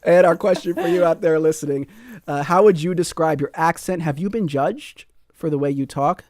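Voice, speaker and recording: A man's voice: a little high, moderate pace, darkish tone, dry recording, clean studio sound. A man hosting a public radio call-in show, talking in a friendly way and reading out listener comments. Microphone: studio microphone.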